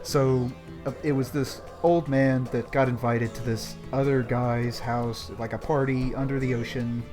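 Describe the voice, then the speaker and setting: A man's voice: medium pitch, little pitch movement, measured pace, over music. A man co-hosting a show, retelling a story in a casual, wry, colloquial way. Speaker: podcast co-host.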